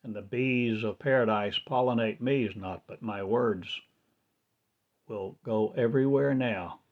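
A man delivering a slow, trance-like, grandiose spoken-word monologue in repetitive, incantatory phrasing. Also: medium pitch. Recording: dull lo-fi recording.

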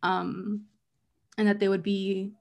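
Female podcast co-host, casual and chatty, mid-pitched, leans on fillers. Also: flat delivery, slow, gravelly, darkish tone. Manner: chatty, fills with "like" and "um", reads out facts. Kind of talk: casual chat.

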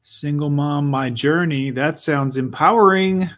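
A man's voice: fairly high pitch, measured pace, fairly bright tone. A man hosting a reaction commentary, talking over a video with mocking, sarcastic asides.

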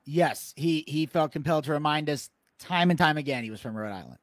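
A man's voice: fairly high pitch, a little fast. A man co-hosting a podcast, upbeat and joking in casual chat. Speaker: podcast co-host.

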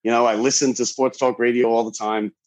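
Male, forties, New York accent, emphatic sports-podcaster chat, mid-pitched, repeats phrases as he goes. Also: little pitch movement, brisk. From New York, USA. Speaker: sports podcast host, interview guest. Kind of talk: casual interview chat.

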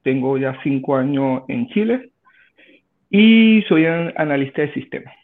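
A Venezuelan man in his thirties, a guest in an interview, answering in a halting way with many restarts. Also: fairly high pitch, expressive. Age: 30s. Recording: tinny phone line.

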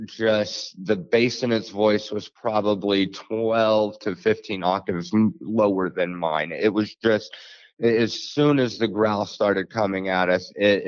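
A man, telling a frightening encounter as a podcast guest, halting with many fillers. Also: no echo. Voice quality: deep bassy voice. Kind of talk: storytelling an encounter. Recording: dull lo-fi dry sound.

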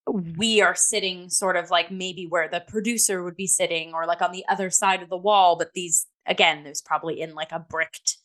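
Lower-voiced woman chatting casually. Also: fairly even intonation, moderate pace, few pauses, a bit bright. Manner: chatty, frequent "like" and hedging. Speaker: co-host and theatre reviewer. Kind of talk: casual conversational theatre review.

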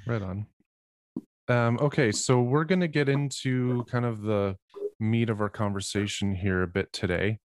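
A man's voice: on the low side, normal speed, dry recording. A man co-hosting a podcast, giving an upbeat welcome and asking a guest easygoing questions. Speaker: podcast co-host. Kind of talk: podcast intro and interview.

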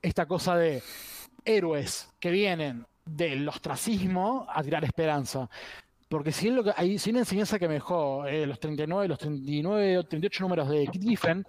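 A man's voice: a little high, normal speed, darkish tone, no room sound. A man giving casual critical commentary as a podcast panelist, opinionated and prone to digressions.

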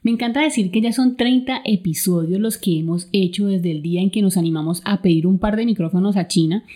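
Colombian woman podcast host, mellow voice. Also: medium pitch, fairly quick. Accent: Colombian Spanish. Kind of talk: travel podcast talk, partly scripted.

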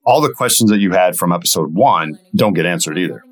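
A man giving casual commentary as a guest voice actor, speaking in a halting, reflective way. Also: low-pitched, expressive.

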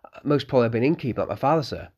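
A man's voice: mid-range voice, expressive, rapid-fire, a little mellow, breathy edge, pitched above the performer's own voice. A man voicing an eager, polite eleven-year-old innkeeper's boy who keeps calling the guests sir.

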